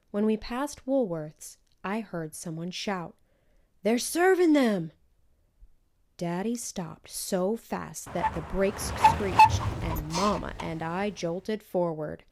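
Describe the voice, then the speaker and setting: Woman, storybook reader, animated scripted narration, slow pace, lowish voice. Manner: animated, acts out each character's dialogue.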